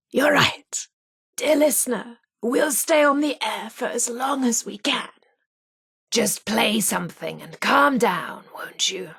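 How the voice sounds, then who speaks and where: A vintage radio hostess from a video game, genteel and theatrical. Her voice is breathy and husky with a creaky edge, at a medium pitch. She presents at an unhurried pace, with a flirty, teasing lilt. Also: a bit bright, exaggerated voice. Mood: dramatic, fretful. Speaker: radio host. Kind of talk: old-time radio presenting with playful banter.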